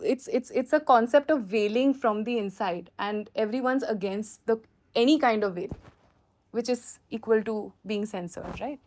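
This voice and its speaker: Woman, Indian accent, high voice, filmmaker, spontaneous answers, animated explanatory storytelling. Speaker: filmmaker answering audience questions. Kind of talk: spontaneous question-and-answer talk.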